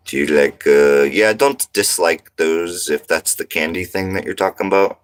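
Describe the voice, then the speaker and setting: A man's voice: sits low, moderate pace. A man from Montana hosting a casual livestream from his RV, reading comments in a laid-back, slangy, rambling way. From Montana, United States. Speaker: livestream host.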